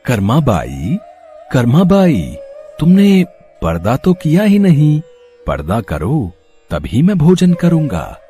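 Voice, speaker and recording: A man's voice: fairly high pitch, wildly swooping pitch, slow, mellow, faint music behind, full character voice. A man voicing Lord Krishna speaking from an idol, a demanding yet kindly god calling out commands in a devotional story. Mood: insistent. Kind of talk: Hindi devotional story acting.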